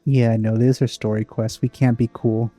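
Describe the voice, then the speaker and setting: Casting: low-key male gamer, very dark relaxed tone, flat intonation, offhand commentary. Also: normal speed, soft background music. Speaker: let's player. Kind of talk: casual gameplay commentary.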